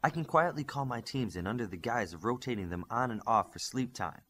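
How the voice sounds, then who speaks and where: A man's voice: medium pitch, lively intonation, a little fast, fairly bright tone. Man voicing a firm, defiant young superhero leader. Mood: urgent advice. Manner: firm, unflinching.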